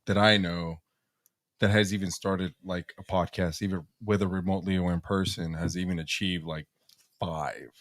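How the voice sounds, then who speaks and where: A man talking casually as a podcast guest, slangy, with halting, informal phrasing. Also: low voice, steady tone, no room sound, clean studio sound. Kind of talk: casual chat.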